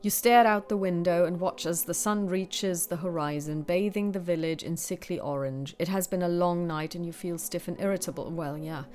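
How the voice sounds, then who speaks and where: Woman reading a solo horror game out loud, lowish voice, chats between bits. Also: fairly even intonation, normal speed, hardly any pauses, soft background music. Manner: reads passages steadily, then chats about her choices. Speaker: solo tabletop game player. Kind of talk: reading a gamebook aloud with asides.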